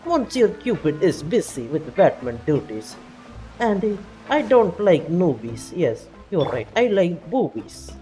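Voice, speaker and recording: Mellow, swooping woman as a pompous French magician. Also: fairly low pitch, very animated, unhurried, soft background music, poor audio. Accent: put-on French. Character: vain, prideful self-styled great French stage magician, male. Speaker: live streamer. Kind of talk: casual game streaming chat, in character.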